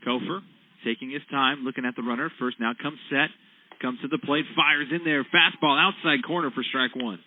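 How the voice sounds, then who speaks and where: Play-by-play man on tinny radio audio. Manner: measured, descriptive pitch calls. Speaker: play-by-play announcer.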